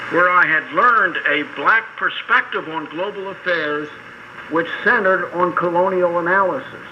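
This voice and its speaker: An older man reading a formal scripted academic lecture in measured, short phrases, heard over shortwave radio. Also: high-pitched. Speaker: academic speaker. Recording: noisy shortwave radio broadcast.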